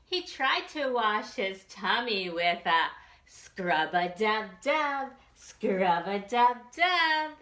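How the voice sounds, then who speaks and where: A woman's voice: mid-range voice, sing-song, drawn-out words, sharp tone, reverberant. A woman reading aloud at a children's storytime in a sing-song, exaggerated way, inviting the children to join in.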